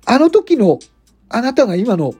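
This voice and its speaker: A man's voice: very high voice, sing-song, moderate pace, dark tone, breathy edge, pitched above the performer's own voice, heavily acted. A man playing a polite, modest male comedian with a hesitant manner in an amateur radio drama. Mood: astonished. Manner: deferential, hesitant. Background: background music.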